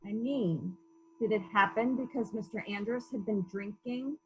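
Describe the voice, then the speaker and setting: A woman playing a calm, persistent police investigator in a murder mystery reading, formal, measured and politely probing. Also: medium pitch, highs cut off, lightly acted.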